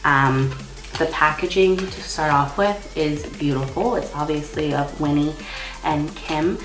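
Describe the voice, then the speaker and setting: Casting: young female beauty vlogger, low voice, stuffed up with a cold, casual tutorial chat, roomy echo. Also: unhurried, faint music behind. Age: young adult. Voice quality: slightly stuffy, congested. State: has a cold. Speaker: makeup artist and beauty vlogger. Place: small indoor room.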